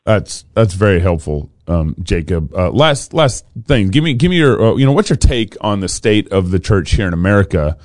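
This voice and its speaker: A man's voice: sits low, big pitch swings, normal speed, hardly any pauses, a little mellow, no echo, clean studio sound. A man hosting a show, interviewing a guest and encouraging him with frequent affirmations. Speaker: host.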